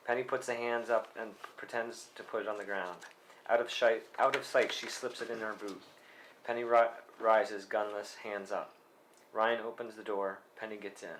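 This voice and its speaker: Guy reading stage directions, kinda low voice, plain with little stumbles, bit of room noise. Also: bright, forceful tone. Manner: plain read with small stumbles. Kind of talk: scripted narration at a table read. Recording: faintly noisy live room recording.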